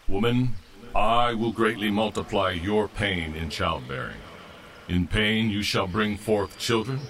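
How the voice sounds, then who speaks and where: A man playing a stern, commanding God in a Bible audio drama, speaking with measured, solemn authority. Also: low voice, a bit bright, a little hiss or hum.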